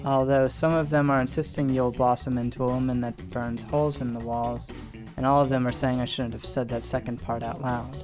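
A man's voice: medium pitch, little pitch movement, fairly quick, music in the background, close to an everyday voice. A man voicing a polite, overly formal boy who hears the advice of past lives. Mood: earnest. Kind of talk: web cartoon voice acting.

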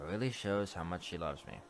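A woman voicing a distraught, bitter young male pony in an animated fan drama. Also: very deep voice.

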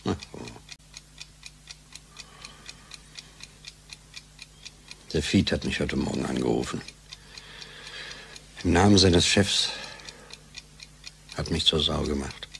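An actor playing a defensive, downcast middle-aged research scientist in a radio play, talking in a hesitant, dry way. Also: male voice, rumbling low, measured pace, vocal fry, long pauses, a bit bright, slight background noise, exaggerated voice. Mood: downcast, irritable.

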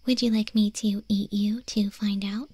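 A woman playing a teasing, caring wolf girl in an ASMR roleplay, speaking in a soft, breathy whisper with a gently deadpan manner. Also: mid-range voice, moderate pace, no echo, natural voice. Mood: playfully teasing.

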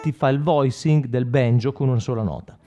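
A man's voice: medium pitch, normal speed. Man, forties, mid-range voice, informal tutorial, conversational, direct address, electric guitar in the background. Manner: conversational, addresses the viewer directly, often checks with short questions. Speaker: guitar instructor and product demonstrator. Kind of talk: informal tutorial, explaining while demonstrating. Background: electric guitar playing with effects.

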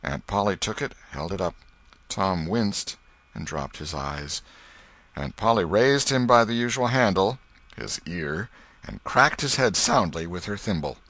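A man reading an audiobook as expressive storybook narration. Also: low-pitched, a little slow. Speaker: audiobook reader.

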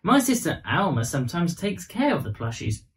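A man's voice: a little high, big pitch swings, normal speed. A man voicing an earnest little girl, anxious yet polite, in a storybook reading. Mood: worried.

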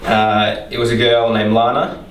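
A late-teen student answering interview questions hesitantly, with lots of ums, into a handheld mic in a classroom. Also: male voice, medium pitch, little pitch movement, moderate pace, fairly bright tone. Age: late teens. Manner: hesitant, full of ums and uhs. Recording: echoey room, distant mic, faint noise.

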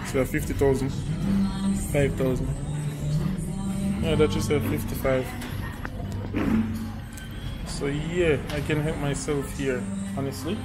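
A man's voice: medium pitch, low-quality recording. A young man talking casually to a handheld camera on a city street and from a scooter in traffic, chatty, loose and joking. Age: young adult. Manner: chatty, loose and joking, turns lively and loud on the bike. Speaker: travel vlogger. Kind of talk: casual vlog talk to camera. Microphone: handheld action camera close to the face. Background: loud music and street traffic.

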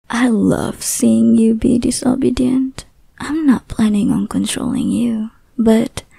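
A young woman voicing an obsessive, possessive lover in an ASMR roleplay, whispering softly with teasing menace. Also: on the high side, no echo, heavily acted. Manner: soft breathy whispering, teasing and threatening.

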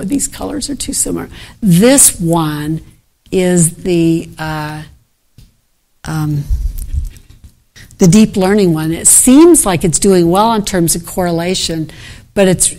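A middle-aged woman delivers a conference keynote with a fairly low voice and an unhurried pace. She speaks off the cuff and talks her way through the slides. She often stops to restart a sentence. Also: dark tone. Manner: unscripted, restarts sentences, thinks aloud over slides. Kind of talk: academic conference talk.

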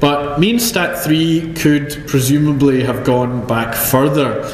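A man's voice: fairly high pitch, takes it slowly, slight background noise. A Scottish man giving a chemistry talk at a conference, with dry self-deprecating humor and frequent ums. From Scotland. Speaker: chemistry professor. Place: lecture hall. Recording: roomy hall with long echo.

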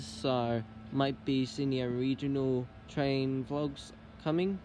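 A man vlogging as a trainspotter, chatting casually by the tracks and calling out train numbers. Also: mid-range voice. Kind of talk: casual trainspotting vlog narration. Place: outdoors by railway tracks and station platform. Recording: street and train noise behind.

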